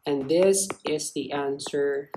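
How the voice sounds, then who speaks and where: A woman with a low voice gives a step-by-step tutorial. She speaks slowly and explains things in simple phrases, as a tutor does. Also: mellow, slight background noise. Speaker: chemistry tutor.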